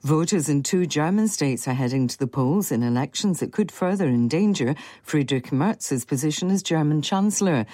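A woman reading a radio news bulletin in a steady, formal manner from a studio. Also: sits low, no echo. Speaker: newsreader. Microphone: studio microphone.

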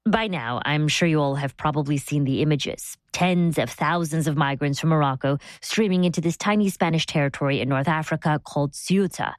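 A woman hosting a news program, narrating in a clear, conversational storytelling style. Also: low-pitched, brisk, hardly any pauses, dry recording. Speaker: program host. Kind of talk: scripted radio narration.

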